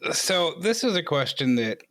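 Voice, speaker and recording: A man's voice: fairly high pitch, very animated, normal speed, fairly bright tone. A man hosting a casual group interview, putting questions to the guests. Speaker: host interviewer.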